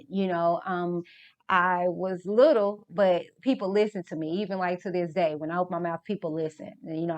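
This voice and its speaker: A woman's voice: fairly low pitch, highs cut off. A woman speaking candidly and reflectively in a documentary interview. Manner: candid, reflective, many fillers.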